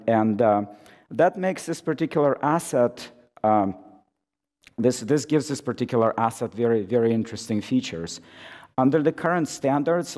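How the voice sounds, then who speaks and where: A hoarse man who is losing his voice gives an academic conference talk, halting with many fillers and restarts, amplified through a microphone. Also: mid-range voice, mellow. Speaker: conference speaker. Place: lecture hall. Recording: reverberant lecture hall.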